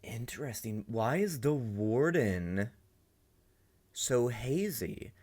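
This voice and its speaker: A man's voice: mid-range voice, animated, slow, a little mellow. A young man hosting a reaction video, commenting casually and rambling as he thinks aloud. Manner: rambling, many fillers and restarts, thinking aloud. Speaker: reaction channel host.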